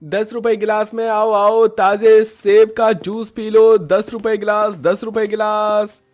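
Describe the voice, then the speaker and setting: A man's voice: sits high, flat delivery, takes it slowly, darkish tone, voice pushed up. A man playing an eager street juice vendor in a cartoon story, hawking with repetitive calls. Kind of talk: cartoon moral story dialogue.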